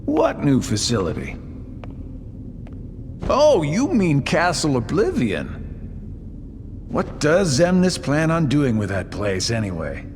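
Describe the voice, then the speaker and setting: An actor playing a sly, mocking villainous schemer in a video game cutscene, with a teasing, drawling, insinuating delivery. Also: male voice, a little high, wildly swooping pitch, slow pace, long pauses, darkish tone, big-room sound, a little hiss or hum, full character voice. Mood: prying, teasing.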